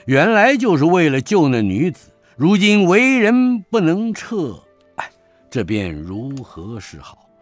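A composed, courteous military officer speaks in a deep, measured, polite voice, as a male storyteller puts him on. The storytelling delivery still rises and falls with life, and the sound is dry and close. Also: wildly swooping pitch, no echo, faint music behind, full character voice. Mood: troubled.

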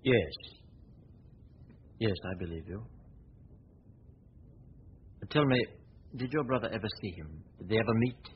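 An actor playing a suave, probing gentleman detective in a 1940s radio drama, questioning in a measured, formal way. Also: animated. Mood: calm, curious. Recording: tinny, noisy 1940s radio recording with rumble.

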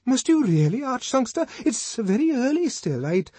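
A man's voice: very high voice, sing-song, normal speed, dark tone, slightly rough, no room sound, pitched above the performer's own voice, exaggerated voice. A man doing a stammering, pleading young man.

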